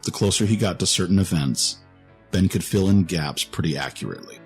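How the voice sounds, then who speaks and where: A man with a very low voice narrates a fantasy story. The delivery is scripted and dramatic, with a music bed underneath. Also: expressive, brisk.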